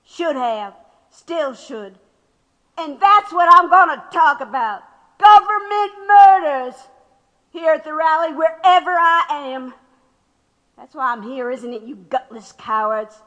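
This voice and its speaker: A woman's voice: high-pitched, expressive, takes it slowly, fairly bright tone, dull audio, exaggerated voice. An actress playing a defiant, sarcastic woman activist in her sixties in a radio drama. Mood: angry, defiant. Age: 60s. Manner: sarcastic, mocking, oratorical. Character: defiant, sharp-tongued 66-year-old woman activist under arrest. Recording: reverberant hall-like room.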